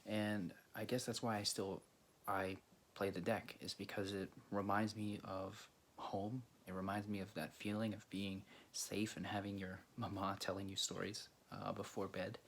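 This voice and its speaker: Casting: male video host from Greece, low creaky voice, halting casual storyteller, faint noise behind. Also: flat delivery. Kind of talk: casual storytelling to camera or viewers.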